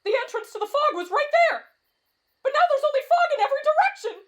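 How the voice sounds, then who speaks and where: A woman's voice: very animated, normal speed, fairly bright tone, heavily acted. A woman voicing an anxious, cowardly, whiny young man with dramatic exclamations in an anime fan audio roleplay. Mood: alarmed. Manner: shrill, dramatic, shrieking exclamations.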